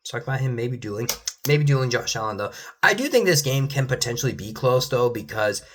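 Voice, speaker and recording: A man's voice: medium pitch, expressive, fairly quick, a bit bright, a little hiss or hum. Rambling young man predicting football games. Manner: rambling, repeats "though" at line ends, many restarts. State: losing his voice at times. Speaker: sports commentator.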